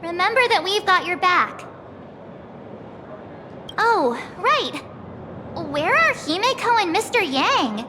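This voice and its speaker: A woman voicing a cheerful, excitable young girl adventurer in a video game, bubbly and self-deprecating, with game music behind. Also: very high voice, slow pace, long pauses, background noise, heavily acted. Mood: encouraging.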